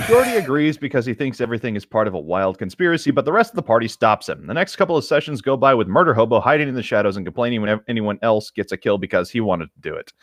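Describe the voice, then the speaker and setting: A man's voice: medium pitch, very animated, fast, no echo, studio quality. A man reading stories aloud as a co-host, breaking off into casual commentary.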